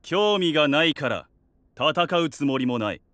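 A man's voice: a little high, lively intonation, a little slow, a bit bright, dry recording. An actor voicing an arrogant, indifferent young fantasy king with a haughty, dismissive manner. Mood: cold disdain.

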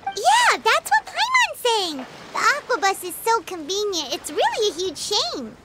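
A woman voicing a tiny, curious, childlike fairy companion in an anime video game, with a squeaky, perky delivery. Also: wildly swooping pitch, a little slow, very noisy, full character voice. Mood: insistent. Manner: refers to self in third person, perky.

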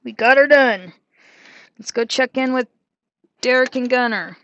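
A woman's voice: high-pitched, unhurried, a bit bright, no room sound, dull audio. A woman talking casually through a cooking demonstration in a small van kitchen, narrating each step as she works. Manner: chatty, talks herself through each step. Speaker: van life vlogger.

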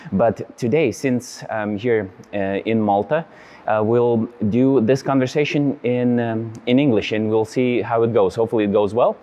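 A young man from Lithuania, a native Lithuanian speaker, hosting an interview in English with many pauses and fillers, seated in a large church. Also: medium pitch, mellow. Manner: friendly, hesitant with many fillers. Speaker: host. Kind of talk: interview host intro and questions.

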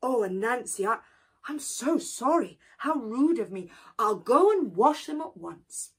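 A woman voicing a meek, polite male turtle who stammers and apologizes, with a husky voice. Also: on the high side, very animated, unhurried, voice pushed up, exaggerated voice. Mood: apologetic.

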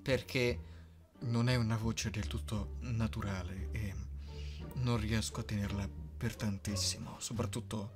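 A woman's voice: very deep voice, fairly even intonation, measured pace, over music. A young woman talking casually in an interview, giggly and blunt, often hesitating as she phrases answers. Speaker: amateur voice dubber and writer answering fan questions.

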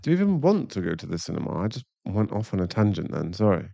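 A man's voice: deep voice, big pitch swings, quick pace, creaky, highs cut off, background noise, full character voice. A British man playing a caring, chatty young boyfriend who is easily flustered, in an intimate ASMR roleplay. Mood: casual, affectionate.

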